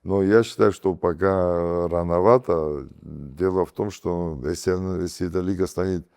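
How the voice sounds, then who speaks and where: A man with an Abkhaz accent, talking casually as an interview guest, with animated bursts and many fillers and restarts. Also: sits low, moderate pace. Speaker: guest, comedy team captain and producer.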